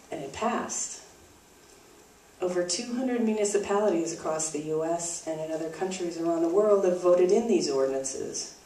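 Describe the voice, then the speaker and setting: Roomy, distant low-voiced woman presenting. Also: warm tone. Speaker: puppet show performer and presenter. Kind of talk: scripted live stage presentation. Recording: roomy, distant mic, faint noise.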